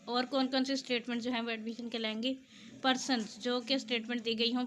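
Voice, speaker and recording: A woman speaks in a fairly high voice, teaching in an informal, explanatory way. She reads formal passages aloud, then unpacks them, switching between two languages. There is faint noise in the recording. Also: sharp tone. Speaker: law lecturer.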